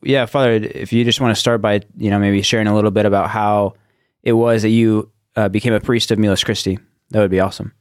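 A man with a lowish voice co-hosts a podcast, putting casual questions to a guest. He talks quickly, and the sound is dry and close. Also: fairly even intonation, clear, resonant tone, no echo. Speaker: co-host. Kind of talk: podcast interview.

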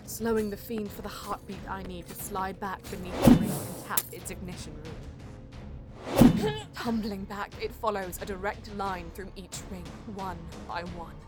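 A woman's voice: medium pitch, a little slow, over loud music, close to an everyday voice. A woman playing a determined alien artisan who narrates her desperate fight tensely and dramatically in a sci-fi audio drama. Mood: urgent.

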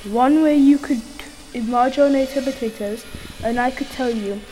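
Girl or woman playing theatrical villainess Medusa, high-voiced. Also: moderate pace, mellow, slight background noise, lightly acted, poor audio. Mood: persuasive. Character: scheming snake-haired Medusa, villainous drug cartel boss. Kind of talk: amateur comic radio play acting.

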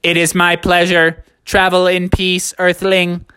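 A man voicing a male Martian alien who speaks slowly and formally. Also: high-pitched, completely flat delivery, sharp tone, clear, resonant tone, dry recording, studio quality, pitched above the performer's own voice. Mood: solemn. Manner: slow, formal, measured.

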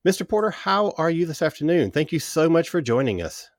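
A man hosting a music podcast, introducing his co-hosts and guest and asking questions in a friendly, measured way. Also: a little high, big pitch swings, vocal fry, no echo, studio quality. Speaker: podcast host.

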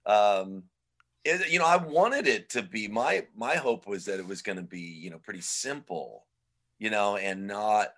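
A man being interviewed as a guest musician, speaking in a relaxed, rambling way with lots of fillers. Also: lowish voice, measured pace. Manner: relaxed, rambling, many "you know" fillers. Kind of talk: casual interview chat.

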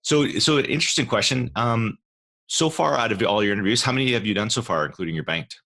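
A man in his forties, a guest on a podcast, chatting in an animated way and repeating words as he goes. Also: medium pitch, fast talker, creaky, no room sound. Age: 40s. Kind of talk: casual interview chat.

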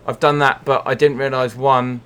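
A man's voice: mid-range voice, little pitch movement, normal speed, fairly bright tone, clean tone. A man chatting casually and comically on a podcast, wry and wordy in a mock-grandiose way. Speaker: podcast contributor. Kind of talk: casual comedic chat.

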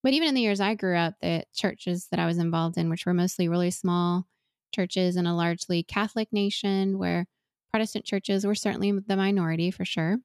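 Woman, forties, raised in Venezuela; earnest, reflective guest, lowish voice. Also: fairly even intonation, a little fast, a little mellow, smooth, no echo, studio quality. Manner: earnest, thoughtful, with frequent restarts. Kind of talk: reflective interview conversation.

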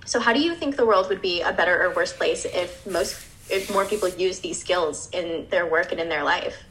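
A woman's voice: on the low side, moderate pace, fairly bright tone, slight background noise, poor audio. A young woman from the United States co-hosting a discussion, upbeat and reflective, with fillers. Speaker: co-host discussing a designer interview. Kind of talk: casual conversational discussion.

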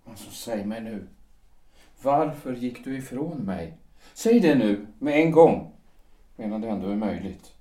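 A man's voice: medium pitch, lively intonation, warm tone, close to an everyday voice. An actor playing a man who pleads with a former lover, faltering and restarting as he speaks, recorded at a distance in a roomy space. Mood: pleading. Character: aging male poet pleading over lost love. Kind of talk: audio drama acting.

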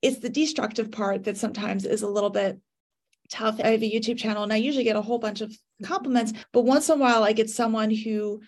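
A woman on a discussion panel, talking quickly and laughing now and then. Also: fairly high pitch, fairly even intonation. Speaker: panelist, YouTuber.

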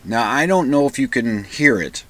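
A male radio host with a slightly croaky voice from a cold and a lozenge in his mouth, rambling casually and self-deprecatingly. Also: medium pitch, animated, moderate pace, a bit bright. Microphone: microphone into recording software.

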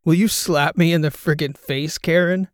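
A man doing a loud, stunned podcast host. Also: on the high side, steady tone, moderate pace, dry recording, studio quality, pitched above the performer's own voice, lightly acted. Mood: shocked. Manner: loud, exclamatory. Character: excitable male podcast host, loud and disbelieving.

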